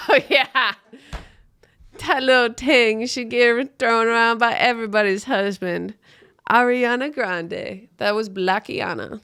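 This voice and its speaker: A woman's voice: a little high, fairly even intonation, slow, bright, forceful tone, clean tone, dry recording. A woman hosting a comedy podcast, riffing in a blunt, sweary, exaggerated and irreverent way. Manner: blunt, sweary, exaggerated, breaking into mock voices.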